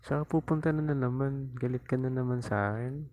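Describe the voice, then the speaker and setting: A man's voice: medium pitch, a little fast, darkish tone, lightly acted. A man playing a cocky, teasing young boyfriend in an intimate ASMR roleplay, with a Filipino accent and a playful, coaxing manner. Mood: cocky, boastful. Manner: playful, coaxing, chuckling, mock-whining. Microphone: lapel mic into mobile phone, close.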